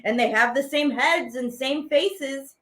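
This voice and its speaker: A woman teaching artist hosting a program, reading children's poems aloud in a playful, theatrical way with drawn-out words. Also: high-pitched, a bit bright.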